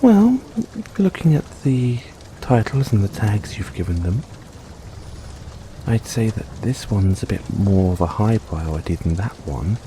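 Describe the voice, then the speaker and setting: A man playing a caring, gently teasing boyfriend in a soft-spoken, playful comfort roleplay for an overworked partner. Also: fairly low pitch, very animated, measured pace, a little hiss or hum. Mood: reassuring. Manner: soft-spoken, playful, coaxing, chuckles often.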